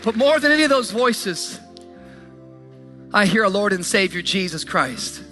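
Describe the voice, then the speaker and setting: A man's voice: high voice, big pitch swings, unhurried, pushed and bright, faint music behind. A man preaching an impassioned motivational sermon, emphatic and declamatory, repeating phrases for effect in colloquial language.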